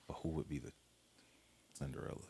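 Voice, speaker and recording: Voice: a little fast, warm tone, slight background noise. A podcast host chatting casually and with enthusiasm, hyping up the guest.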